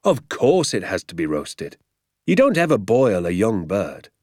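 A man's voice: fairly low pitch, wildly swooping pitch, unhurried, darkish tone, dry recording, clean studio sound, heavily acted. A man voicing an exuberant, boastful father in a storybook, grandly emphatic and stretching his words with relish.

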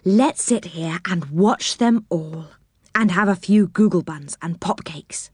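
Brief: woman as a kind, gentle storybook fairy, close dry read. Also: fairly low pitch, takes it slowly, husky, no room sound, full character voice.

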